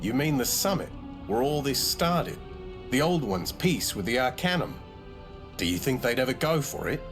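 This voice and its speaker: A wry agent for a game cutscene. His delivery is casual and sardonic at an average pitch, with faint music behind. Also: very animated, moderate pace. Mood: doubtful. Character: wry, down-to-earth secret society agent. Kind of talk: scripted video game cutscene acting.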